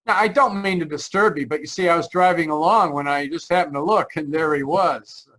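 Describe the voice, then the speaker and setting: A man's voice: fairly high pitch, a little fast, a bit bright, natural voice. An actor playing a haunted, anxious driver narrating his road trip in old-time radio style, tense and earnest. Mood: agitated. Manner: tense, earnest, dramatic storytelling. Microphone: video call. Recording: live video-call performance.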